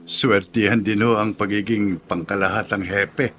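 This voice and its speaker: Casting: polite Filipino chauffeur, radio drama, lowish voice, very noisy recording. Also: male voice, fairly even intonation, measured pace, fairly bright tone. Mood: respectful. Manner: respectful, polite. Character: deferential male driver to a colonel. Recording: very noisy track with background ambience.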